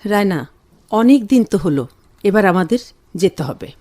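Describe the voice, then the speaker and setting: A woman acts a bustling, protective elder sister in a radio play. Her intonation swings freely as she fusses and reassures. Soft music runs behind her. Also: medium pitch, animated, normal speed, natural voice. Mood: kindly. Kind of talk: Bengali radio drama acting.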